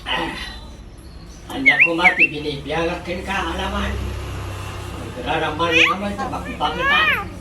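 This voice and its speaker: A woman's voice: medium pitch, huge pitch swings, a little slow, long pauses, over a noisy crowd. A grumbling, nosy old Ilocano gossip, played by a woman, easily riled. The voice swoops between muttering and outbursts in a comic radio drama, with heavy background noise. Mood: muttering, sulky. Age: older. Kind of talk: comedic radio drama dialogue.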